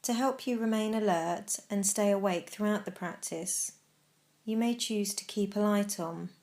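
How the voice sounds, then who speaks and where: Woman guiding a slow, gentle meditation. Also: medium pitch. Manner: gentle, measured instruction with pauses. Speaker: mindfulness practice guide. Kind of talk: guided meditation narration.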